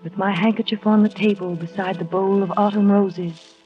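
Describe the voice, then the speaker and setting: An actress in a 1940s radio drama playing a shy nineteen-year-old companion, soft-spoken and wistful, narrating her memories. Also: medium pitch, fairly even intonation, moderate pace, natural voice. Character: shy young woman of nineteen, paid companion. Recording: dull old radio recording with music.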